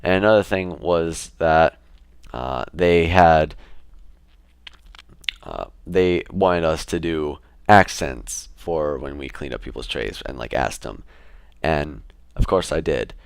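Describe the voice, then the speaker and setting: A young man talking casually to his camera in a relaxed, rambling way, with fillers and restarts. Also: very deep voice, slow pace, clean tone, no room sound, clean studio sound. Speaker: vlogger.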